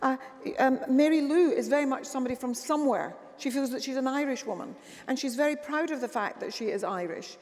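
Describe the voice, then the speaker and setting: A woman's voice: sits high, fairly quick, hardly any pauses, darkish tone, long reverb tail. A woman chairing a live panel debate, often stumbling and repeating words as she starts a thought. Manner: hesitant, repeats words before getting going. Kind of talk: moderating a live panel discussion.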